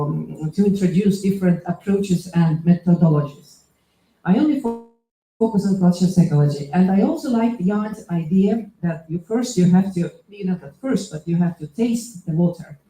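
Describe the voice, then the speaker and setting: Dark-voiced woman from Estonia, hesitant lecture. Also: fairly low pitch. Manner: hesitant, many fillers and restarts. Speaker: associate professor, conference speaker. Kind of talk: academic conference talk. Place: lecture hall. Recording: echoey hall.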